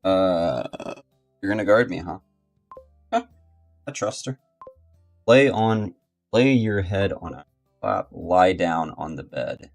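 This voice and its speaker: A man's voice: low voice, expressive, slow pace, gravelly, long pauses, a little mellow, soft background music. A man doing casual live-stream commentary on a game, teasing and reacting in short bursts.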